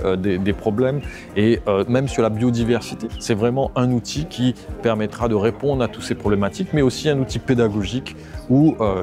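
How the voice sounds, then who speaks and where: A man speaking as a local official, giving a statement to the press. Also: fairly low pitch.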